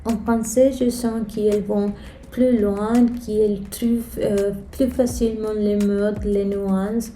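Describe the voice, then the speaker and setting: Slow, mellow Spanish-accented woman vlogger. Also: mid-range voice, little pitch movement, slight background noise. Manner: careful, hesitant non-native French delivery. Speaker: vlogger, channel host. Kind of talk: casual personal storytelling to camera. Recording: roomy echo, music underneath. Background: background music.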